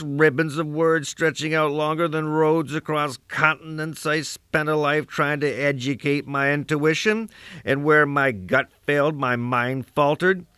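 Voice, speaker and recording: A man's voice: fairly high pitch, pushed and bright, clean tone, dry recording, clean studio sound. A man voicing an aging, cantankerous, proud American poet in a rambling dramatic soliloquy, with a crusty, musing, oratorical delivery and salty asides. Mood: musing, declamatory. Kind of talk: dramatic first-person literary soliloquy.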